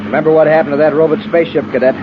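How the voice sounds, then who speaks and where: A man's voice: a little high, fairly even intonation, racing, lightly acted. An actor playing a decisive male space patrol commander, clipped and authoritative, in 1950s radio drama style. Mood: urgent. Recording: dull, noisy vintage radio recording.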